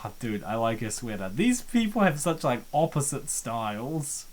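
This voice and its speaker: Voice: medium pitch, big pitch swings, normal speed. A streamer giving casual, joking commentary over a visual novel, laughing and rambling. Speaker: game streamer. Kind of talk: casual let's-play commentary.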